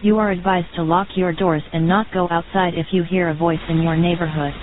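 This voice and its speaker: A woman's voice: fairly low pitch, steady tone, fairly quick, phone-quality sound. A woman reading a scripted emergency announcement as an alert announcer. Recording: hissy, noisy alert audio.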